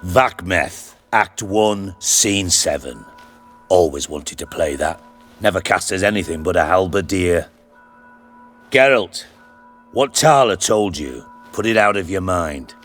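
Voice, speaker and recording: An actor playing a cunning, theatrical spymaster in a game, with a measured, persuasive delivery. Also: male voice, deep voice, animated, fairly bright tone, soft background music, heavily acted. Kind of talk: cinematic game voice acting.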